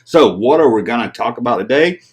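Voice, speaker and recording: A man's voice: medium pitch, fairly quick. A man hosting a collector video, talking casually and joking about odd vintage toys; his first language is Japanese. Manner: animated, joking, chatty. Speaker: toy collector channel host.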